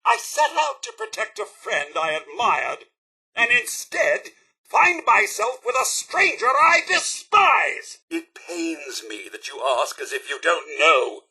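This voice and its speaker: An actor voicing a polite, fussy, devoted robot butler with a British accent and formal, theatrical delivery in a video game. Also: male voice, a little high, animated, unhurried, hardly any pauses, pushed and bright, slightly rough, heavily acted, low-quality recording. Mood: stern, disappointed. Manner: formal, theatrical, deferential, primly articulated. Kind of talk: scripted video game companion dialogue.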